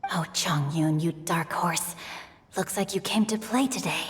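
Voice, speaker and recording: A woman's voice: lowish voice, measured pace, slight background noise, lightly acted. A woman playing a refined, playful, bookish teenage boy in a game, with polished literary speech. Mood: teasing approval. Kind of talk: scripted game dialogue.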